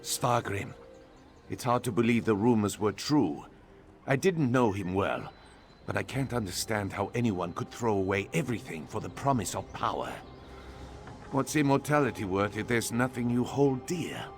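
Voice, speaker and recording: A man voicing a serious young nobleman in a video game, speaking gravely and thoughtfully over game music. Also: lowish voice, lively intonation, moderate pace.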